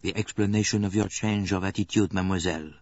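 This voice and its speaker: A man's voice: low-pitched, steady tone, fast talker, a little mellow, dry recording, lo-fi sound. A man reading an audiobook and voicing a fussy, shrewd older male Belgian detective with precise, courteous phrasing.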